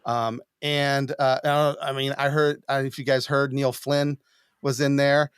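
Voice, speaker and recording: A man's voice: mid-range voice, flat delivery, normal speed, smooth, no room sound, studio quality. A middle-aged man hosting a live podcast, chatting in an informal, enthusiastic way. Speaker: podcast host. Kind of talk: casual live show chat. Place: small room at home, on a video call.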